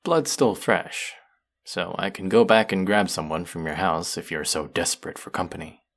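A man's voice: low voice, steady tone, moderate pace, gravelly, a bit bright, full character voice. A man voicing a smug, taunting young fantasy villain who menaces his captive in a theatrical roleplay audio monologue. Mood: teasing, controlling.

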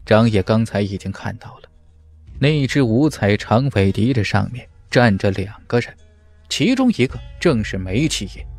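A man's voice: medium pitch, a little mellow. A man narrating a fantasy martial arts audiobook in a dramatic, scripted style.

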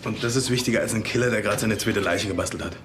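An actor playing a male police detective who talks in quick, sarcastic remarks. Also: lowish voice, background noise, lightly acted.

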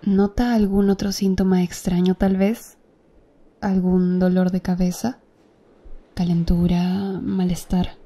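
A woman acting as a calm, attentive female doctor in an ASMR roleplay, speaking softly and close to the listener. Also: lowish voice, slow pace. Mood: gentle. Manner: soft, close-up ASMR speech, gently addressing the listener.

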